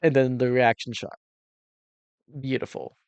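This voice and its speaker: A man's voice: medium pitch, level tone, measured pace, clean tone, no echo. A man giving casual, exclamatory reaction commentary as a cinematographer and host, thinking aloud informally while explaining technique.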